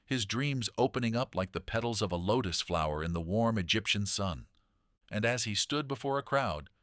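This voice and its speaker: A man narrating a children's bedtime story in a gentle, deliberate read-aloud manner. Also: fairly low pitch, no echo, studio quality.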